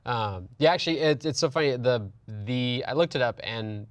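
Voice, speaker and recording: A man with a medium-pitched voice talks casually in a panel discussion. He is opinionated and ready to argue. The sound is dry, with hardly any room in it. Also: expressive, normal speed, no echo. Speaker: panelist on a film and gaming talk show.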